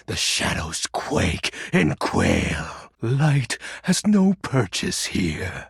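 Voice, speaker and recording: Deep, gravelly, melodramatic lord of darkness. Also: male voice, a bit bright, dry recording, studio quality, heavily acted. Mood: imperious. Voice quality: gravelly, husky. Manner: pompous, melodramatic, archaic wording. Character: grandiose, theatrical male emperor of darkness and shadows. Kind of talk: video game character voice acting.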